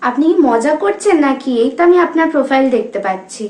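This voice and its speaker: A young woman with a high-pitched voice speaks with a West Bengal Bengali accent. She is polite but keeps pushing persuasively, as if on a staged prank call. The room adds clear echo. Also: big pitch swings, fast, darkish tone. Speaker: prank caller, vlogger. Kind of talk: staged phone prank conversation.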